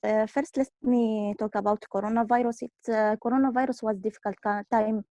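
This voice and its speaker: A woman from Syria, a refugee, speaking halting at an online press conference, a little nervous. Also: fairly high pitch, steady tone, warm tone, no echo, muffled recording. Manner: halting non-native English, nervous. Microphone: video call.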